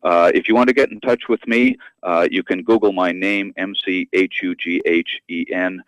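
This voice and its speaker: A man speaking as an expert guest in a radio interview, explaining in a measured, educational manner. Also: low-pitched, steady tone, a bit bright, dry recording, low-quality recording. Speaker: guest expert, university professor and author.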